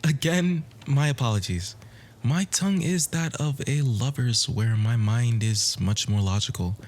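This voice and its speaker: Man acting a slow-talking, soft, flirty bookish charmer. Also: mid-range voice, natural voice. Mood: apologetic, tender. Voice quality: soft, breathy, often near a whisper. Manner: formal, wordy, gently hesitant flirting. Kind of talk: ASMR romance roleplay.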